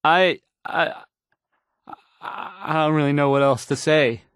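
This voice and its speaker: A man's voice: on the high side, a bit bright, clean tone, no echo, clean studio sound. A man playing an awkward, unsure young radio DJ in a video game, stumbling hesitantly through news reports. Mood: anxious, unsure. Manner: halting, stammering, hesitant, trailing off.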